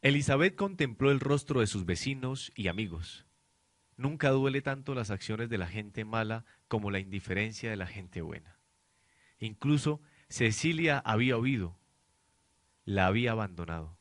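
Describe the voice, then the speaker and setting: A man's voice: lowish voice, animated, moderate pace, dry recording. A man reading dramatic scripted narration for a radio novel. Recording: clear background noise, amateur student production.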